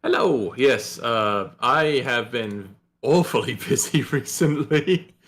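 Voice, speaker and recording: A man's voice: mid-range voice, expressive, takes it slowly, fairly bright tone. A man on a casual panel, speaking in a politely wry way. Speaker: voice actor panelist. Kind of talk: casual chat. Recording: faint noise and clicks.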